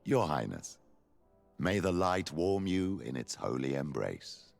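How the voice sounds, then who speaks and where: An unctuous, pious church emissary in a game cutscene. His voice is extremely deep and gravelly, with grave, ceremonious oratory that rises and falls expressively. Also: sing-song, slow pace, soft background music, exaggerated voice. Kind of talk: video game cutscene acting.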